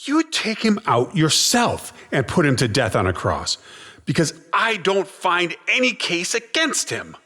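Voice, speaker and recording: An actor playing a forceful man of authority in a biblical audio drama. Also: sits high, huge pitch swings, unhurried, full character voice. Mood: defiant. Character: forceful male authority refusing to condemn a prisoner. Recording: noisy crowd, outdoor ambience.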